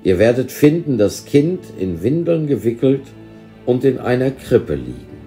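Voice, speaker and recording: A man's voice: medium pitch, sing-song, a little slow, mellow, faint music behind, voice pushed up. Man as majestic angel, raised proclaiming voice. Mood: solemn proclaiming. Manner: proclaiming, solemn. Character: male angel, majestic herald of great joy.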